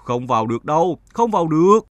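A man's voice: a little high, sing-song, fast, dry recording, lo-fi sound. A man voicing a superstitious, fretful and evasive village wife in a cartoon folktale. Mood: anxious, insistent.

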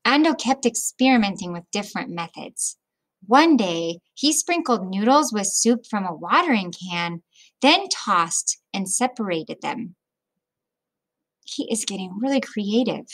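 Middle aged lady teacher reading a kids book out loud, kinda high voice, really slow and careful. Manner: deliberate phrasing with explanatory asides to young listeners. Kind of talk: expressive children's read-aloud.

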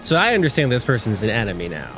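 Man playing a tabletop game, medium pitch. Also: sing-song, rapid-fire, low-quality recording. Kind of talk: casual tabletop roleplay chat. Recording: background music under the voice.

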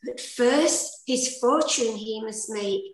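A middle-aged woman narrates a pantomime as a storyteller. Her voice is high and unhurried, heard over a roomy, echoey video call. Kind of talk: scripted rhyming storytelling narration. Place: indoor room.

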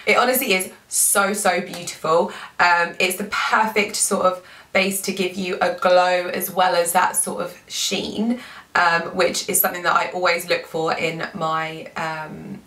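Young woman with a blocked-up cold voice, bright tone, chatty beauty vlogger, echoey room, mic a bit back. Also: on the low side, little pitch movement, a little slow, far from the mic. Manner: chatty, frequent "like" and "um". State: congested, lost voice, sore throat. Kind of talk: casual product review.

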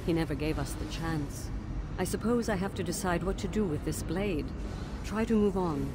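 A woman's voice: on the low side, moderate pace, close to an everyday voice. An actress playing a grieving but resolute female ghost in a video game, speaking with solemn, formal dignity. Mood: weary sorrow. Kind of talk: video game character acting. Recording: loud ambient noise behind voice.

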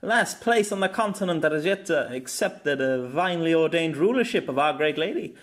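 Man acting a jovial, taunting swordmaster, pitched up. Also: expressive, fairly quick, natural voice. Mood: merry. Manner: playful, teasing.

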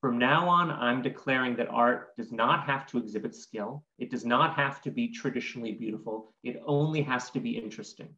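A man's voice: medium pitch, a little fast, hardly any pauses, sharp tone. A man in his forties explains things articulately and didactically, as a guest in an interview. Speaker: guest art historian. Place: home room with bookshelves. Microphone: computer microphone on video call. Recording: dull, echoey video call audio.

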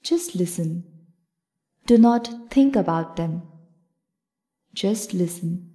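Slow, mellow woman guiding meditation. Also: on the low side. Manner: calm, measured, instructional. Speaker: yoga nidra instructor. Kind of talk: guided meditation narration.

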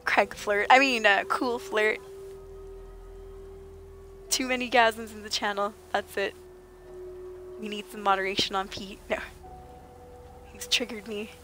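A woman streaming a game, slightly raspy and under the weather, chatting playfully with viewers and joking in mock outrage. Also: mid-range voice, level tone, unhurried, long pauses, pushed and bright, faint music behind. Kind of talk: casual gaming chat with viewers.

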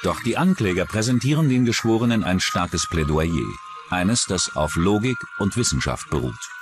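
Deep, animated true-crime narrator, a man. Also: moderate pace. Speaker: documentary narrator. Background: music behind the voice.

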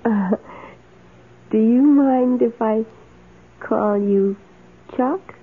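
An actress playing a meek, lonely, adoring maid in a radio drama, speaking in a timid, halting, wistful way. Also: female voice, on the high side, husky, exaggerated voice. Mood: flustered, adoring. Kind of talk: old radio drama acting. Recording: tinny, hissy old radio recording.

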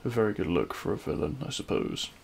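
A man playing a regretful, lovelorn supervillain in an audio roleplay, speaking in a hushed, halting, wistful way. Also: low voice, sing-song, creaky, a little hiss or hum, exaggerated voice. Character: regretful lovelorn male supervillain addressing his fallen hero. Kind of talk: scripted ASMR audio roleplay monologue.

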